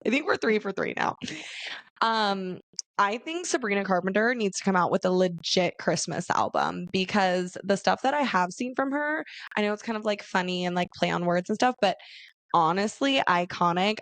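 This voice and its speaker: A raspy young woman from Arizona hosting a casual solo podcast, chatty and laughing often as she talks to her listeners. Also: medium pitch, a bit bright, no room sound. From Arizona, USA. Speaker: podcast host.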